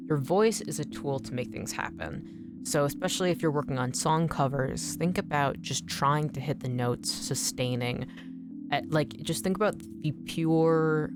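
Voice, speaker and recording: Low raspy-ish nerdy girl streamer rambling to chat, music going behind her. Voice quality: slightly raspy, a bit nerdy and dorky. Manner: rambling, encouraging, lots of "like" and restarts. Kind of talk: casual chat to stream viewers while drawing. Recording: music playing in the background. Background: music playing.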